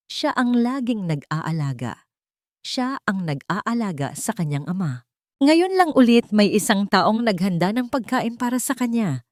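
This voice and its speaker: A woman with a Filipino accent narrating a scripted romantic drama story in a dramatic, measured storytelling manner. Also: mid-range voice, no echo, clean studio sound.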